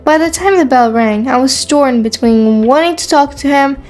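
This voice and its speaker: Female, higher-pitched, acting a skeptical quiet schoolgirl with sass, narrating a teen romance over soft music. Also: moderate pace, close to an everyday voice. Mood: puzzled, reluctant. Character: guarded, sassy quiet high school girl. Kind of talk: first-person story narration and dialogue.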